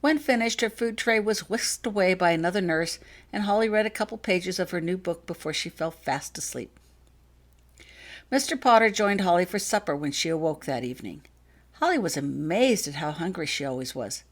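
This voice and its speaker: A woman reading her own story aloud as an expressive scripted narration. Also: lowish voice, normal speed. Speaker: author reading own fan fiction.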